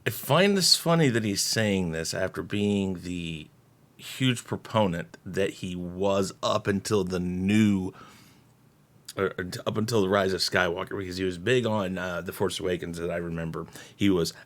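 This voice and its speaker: A man hosting casual reaction commentary, speaking in a measured way with pauses and throat clearing. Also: deep voice. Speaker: host reacting to a video.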